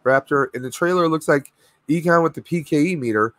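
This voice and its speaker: Hoarse man, chatty stream host. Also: on the high side, brisk, a bit bright, no echo, clean studio sound. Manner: chatty, rambling with fillers. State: losing his voice, clearing throat. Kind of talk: casual live stream chat. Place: home room with collectibles, indoors. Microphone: webcam video call.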